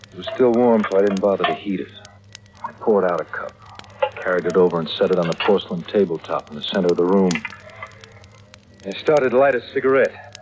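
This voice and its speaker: A man's voice: fairly low pitch, lightly acted, low-quality recording. An actor playing a guilt-ridden young seaman narrating his own terror in an intense, haunted old radio drama style. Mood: hushed dread. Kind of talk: 1940s-50s radio drama acting, first-person suspense narration. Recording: vintage radio recording with loud music.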